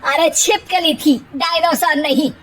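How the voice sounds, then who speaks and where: A high-pitched, bossy mother-in-law played by a woman. She is sarcastic and scolding, acted broadly for a cartoon family story. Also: steady tone, measured pace, a bit bright. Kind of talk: exaggerated Hindi cartoon story acting.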